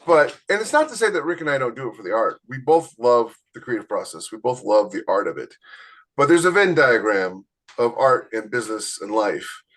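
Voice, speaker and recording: A man's voice: medium pitch, very animated, fairly quick, a bit bright. A man chatting casually as a podcast co-host, giving writing advice in a blunt, emphatic, opinionated way.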